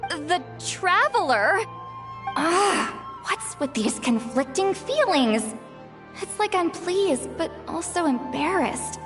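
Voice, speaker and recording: An actress playing a proud, easily flustered young woman in a video game, expressive and quick to sound embarrassed. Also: high voice, full character voice. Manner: expressive, indignant when embarrassed.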